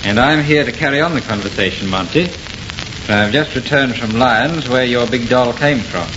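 An actor playing a serious, deliberate friend who reveals findings in an old-time radio horror drama. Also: male voice, medium pitch, natural voice. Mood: grave, revealing. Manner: measured, theatrical revealing. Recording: muffled, noisy old radio recording.

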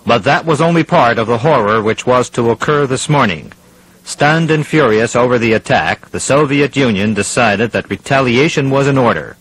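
Actor as serious mid-pitched male radio newsreader. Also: expressive, normal speed, a bit bright, slightly rough, poor audio. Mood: grave, formal. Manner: serious, formal. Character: serious male radio news anchor reporting a nuclear crisis. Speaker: news anchor. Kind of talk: scripted radio news bulletin.

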